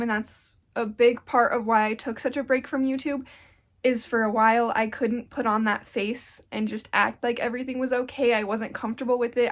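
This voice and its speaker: A young woman around twenty, a little congested from getting sick, talking earnestly in a casual sit-down vlog and rambling with lots of "like.". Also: fairly high pitch. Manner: earnest, rambling, with frequent "like" fillers. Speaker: YouTuber.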